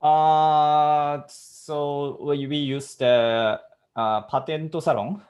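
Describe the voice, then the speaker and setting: Halting Japanese-accented man, casual chat. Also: a little high, fairly even intonation, dull audio. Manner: halting, frequent fillers, laughs easily. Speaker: co-host, patent professional. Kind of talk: casual chat in a second language.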